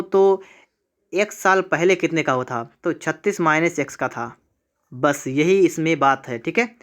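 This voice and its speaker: A man's voice: a little high, a bit bright, dull audio. A man teaches exam math step by step, repeating himself and asking questions that he answers himself. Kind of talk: exam tutorial, step-by-step problem solving.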